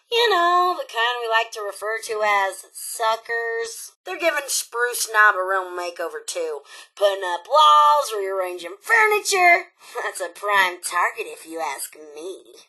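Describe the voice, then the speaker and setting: A woman's voice: a little high, sing-song, unhurried, sharp tone, full character voice, poor audio. A woman voicing a sassy, impatient female robot in a video game, theatrical and sarcastic, with exaggerated swings of emphasis. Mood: sly, gossipy. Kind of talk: video game character dialogue.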